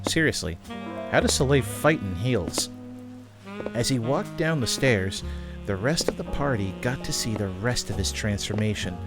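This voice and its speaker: A man reading scripted fantasy story narration as the narrator of an audio drama. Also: lowish voice.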